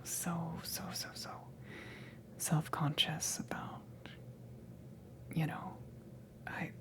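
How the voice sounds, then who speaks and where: A woman playing a flustered, self-conscious alien crewmember in an ASMR roleplay, breathy and stammering, smitten with the listener. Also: low voice, level tone. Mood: self-conscious, agitated. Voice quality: breathy, creaky, often whispered. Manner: hesitant, stammering, slow, awkward.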